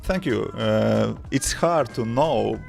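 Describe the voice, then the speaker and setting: A man in his thirties with a Latvian accent, a developer chatting casually on a live stream in simple, halting English with many restarts. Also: fairly low pitch, creaky, darkish tone, soft background music. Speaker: livestreaming developer answering chat.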